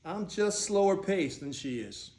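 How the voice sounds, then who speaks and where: A man playing an easygoing older groom in a staged reading, plainspoken with dry humour and gently stubborn. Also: high voice, very animated, unhurried. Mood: fondly teasing. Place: indoor room, staged reading. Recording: echoey room.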